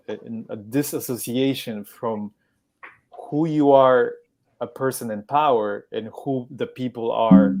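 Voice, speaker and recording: A man from Peru speaking on a podcast, explaining things in a measured way with pauses and restarts. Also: fairly low pitch, a little mellow. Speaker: podcast guest. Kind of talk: conversational podcast talk.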